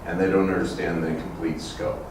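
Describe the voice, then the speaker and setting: A man's voice: low-pitched, little pitch movement, normal speed. A man explaining a point to a conference audience in a loose, chatty way with fillers. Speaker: colleague answering audience question. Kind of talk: casual talk at a conference. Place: conference room. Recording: very echoey, distant, noisy room.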